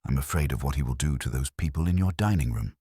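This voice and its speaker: Man reading as an anxious wife, low husky voice. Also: fast, warm tone, dry recording, clean studio sound. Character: anxious, well-bred wife pleading for detective's help.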